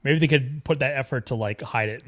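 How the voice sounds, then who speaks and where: A man's voice: mid-range voice, fairly quick, fairly bright tone. A man co-hosting a film podcast, chatting casually as he discusses a movie.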